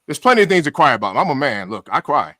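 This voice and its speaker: A man's voice: medium pitch, big pitch swings, quick pace, pushed and bright, dry recording, studio quality. A man with a New York accent chatting casually on a livestream, emphatic and slangy, often repeating himself for effect. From Queens, New York, USA. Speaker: podcast panelist.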